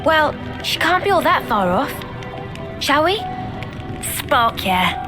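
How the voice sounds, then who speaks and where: A woman's voice: sits high, very animated, slow pace, exaggerated voice. A woman playing a brash, blunt young female fighter in a video game cutscene. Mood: feisty. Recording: music behind the voice.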